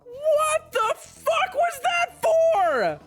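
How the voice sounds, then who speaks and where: A woman's voice: very high-pitched, wildly swooping pitch, drawn-out words, soft background music, exaggerated voice. A woman playing a cocky, hot-headed and insecure young swordsman in a fantasy audio drama, with a brash, indignant delivery. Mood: outraged. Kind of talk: fantasy audio roleplay acting.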